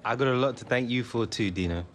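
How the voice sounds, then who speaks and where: An actor playing an easygoing young footballer in a naturalistic video game scene. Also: male voice, medium pitch, fast, a little hiss or hum, lightly acted. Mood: earnest, grateful. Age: young adult. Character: easygoing eighteen-year-old male professional footballer.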